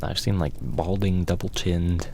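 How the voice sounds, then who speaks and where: Low crackly guy doing soft spoken asmr roleplay, rambly n casual, bit of hiss behind him. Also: fairly even intonation, measured pace, creaky. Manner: casual, rambling, dryly joking. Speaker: ASMR performer playing a furry fandom enrollment clerk.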